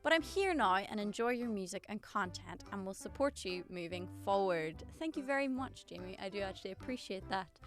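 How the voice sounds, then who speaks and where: A woman's voice: mid-range voice, animated, brisk, few pauses, faint music behind. A woman in her twenties casually reading out comments and answering them in a sarcastic, self-mocking way. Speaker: singer and YouTuber.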